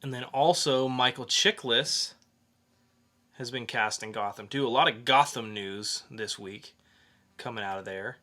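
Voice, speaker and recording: A man co-hosting a podcast, talking casually through entertainment news in a halting, conversational way with pauses. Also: mid-range voice, bright, forceful tone. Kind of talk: casual geek news chat.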